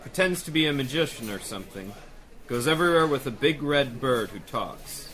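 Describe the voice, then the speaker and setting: A man playing a brisk, confident police commissioner. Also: mid-range voice, expressive, a bit bright, lightly acted. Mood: self-assured. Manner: brisk, self-assured. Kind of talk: 1930s radio drama acting.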